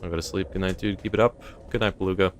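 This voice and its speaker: Casting: young male streamer, deep voice, strained, rambly chat to viewers, faint noise behind. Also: fairly even intonation, fast. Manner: rambling, reads chat aloud and answers it. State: voice feeling strained. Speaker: streamer running a game server. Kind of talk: casual live-stream chat.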